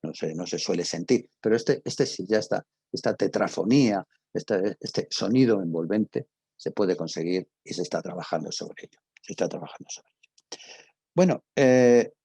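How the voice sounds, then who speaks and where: A man with a Castilian Spanish accent and a husky voice, giving an informal lecture as a teacher, explaining haltingly with digressions and check-in questions. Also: deep voice, mellow, no room sound. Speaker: teacher of radio drama.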